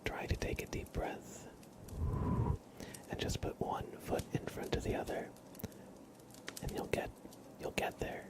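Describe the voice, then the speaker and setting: A man hosting an ASMR livestream, talking in a gentle, reassuring, soothing way. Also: unhurried, pauses often. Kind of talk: whispered ASMR, soothing affirmations and chat to viewers.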